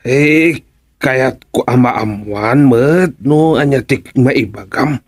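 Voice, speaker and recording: An actor playing a nosy, hesitant village official in a Filipino radio comedy drama, hemming and hawing in a Filipino accent. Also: male voice, medium pitch, takes it slowly, no room sound, clean studio sound. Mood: probing, hesitant.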